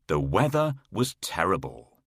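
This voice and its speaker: A man narrating a scripted children's fairy tale in a deliberate, expressive way. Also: deep voice, creaky, breathy edge, no room sound, clean studio sound. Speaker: storyteller.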